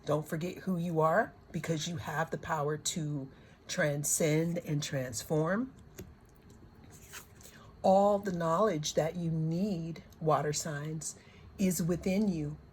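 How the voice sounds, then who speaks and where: Slow hoarse woman reading cards. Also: low voice, steady tone, a little mellow, a little hiss or hum. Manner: unhurried, circling phrasing with repeated words. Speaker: tarot and oracle card reader. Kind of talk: slow, reflective spiritual card reading.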